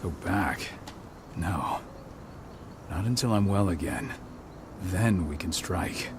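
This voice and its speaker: A man playing a stern, weary pack leader in a video game, speaking gravely and with measured authority. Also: low-pitched, background noise. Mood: firm, weary. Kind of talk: video game cutscene acting. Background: music.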